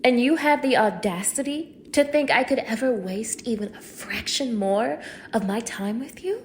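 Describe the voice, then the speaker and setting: A woman's voice: fairly high pitch, animated, moderate pace, slight background noise, lightly acted. A young woman acting a cruel, contemptuous captor in an audio roleplay, taunting one listener in a mocking, degrading manner. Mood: furious disgust. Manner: mocking, degrading, swinging from hushed menace to shouting.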